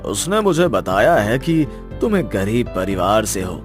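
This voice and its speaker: A man's voice: fairly low pitch, very animated, unhurried, faint music behind. A man playing a haughty, condescending middle-aged father-in-law in a dramatized moral story, commanding and mock-polite. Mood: stern.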